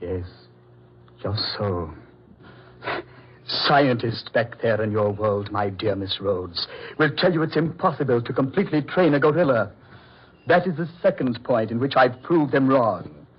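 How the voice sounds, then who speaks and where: An actor in an old-time radio horror play as a proud, coldly polite mad doctor, speaking in a deliberate, theatrical way. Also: male voice, mid-range voice, expressive, husky. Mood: smug, boastful. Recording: muffled vintage radio drama recording.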